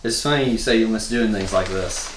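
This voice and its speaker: A man reading the part of a pensive, quietly resigned teenage boy in a table read. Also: lowish voice, brisk, lightly acted. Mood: pensive, subdued. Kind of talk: table read of a film script. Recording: roomy echo with faint noise.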